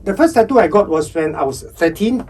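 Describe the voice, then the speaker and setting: Husky Singaporean man telling his story. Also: fairly high pitch, lively intonation, fairly quick, darkish tone, far from the mic. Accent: Singaporean English. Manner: candid, wry storyteller. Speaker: podcast guest. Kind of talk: casual interview chat.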